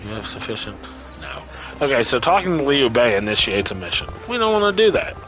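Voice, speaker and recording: A man streaming a game with casual running commentary. His voice sits at an average pitch. Game music plays faintly behind him. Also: big pitch swings, fairly quick, a bit bright, poor audio. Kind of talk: casual live game commentary, reading dialogue aloud.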